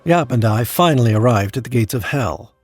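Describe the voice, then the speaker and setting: An actor playing a smug, stubborn dead business tycoon in an audio drama, with a wry, self-satisfied manner. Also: male voice, mid-range voice, expressive, a little fast, darkish tone, faint music behind, natural voice. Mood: wry storytelling. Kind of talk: first-person audio drama narration and dialogue. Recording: noisy background ambience, sometimes echoey.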